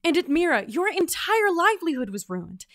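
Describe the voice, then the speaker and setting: A woman playing an earnest, quick-witted traveler on a mission in a fantasy audio drama. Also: very high voice, sing-song, heavily acted. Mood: rousing. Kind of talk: fantasy audio drama acting with log narration.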